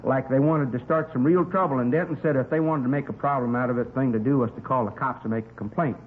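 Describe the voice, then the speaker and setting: Fast-talking folksy American witness, acted by a man. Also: mid-range voice, lightly acted. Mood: earnest recounting. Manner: colloquial, rambling, earnest. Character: folksy, talkative working man, an eager male witness. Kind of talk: 1950s radio drama acting. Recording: tinny, hissy 1950s radio recording.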